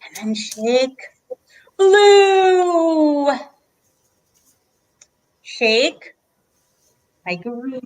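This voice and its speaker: A woman's voice: sits high, lively intonation, extremely slow, pauses often, a little mellow. A woman co-hosting children's story time, leading a movement rhyme in a playful, sing-song way.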